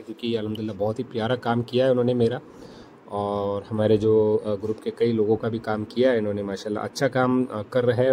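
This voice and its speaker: A man hosting his own farm channel, narrating a casual voice-over in a relaxed way, often pausing with fillers. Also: medium pitch, slight background noise. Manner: relaxed, hesitant with frequent fillers. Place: home studio, recorded separately as voice-over.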